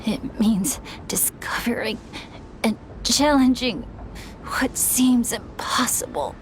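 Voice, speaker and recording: Slow-speaking plucky boy adventurer. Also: fairly high pitch, in a whisper. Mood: weary defiance. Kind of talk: dramatic video game acting.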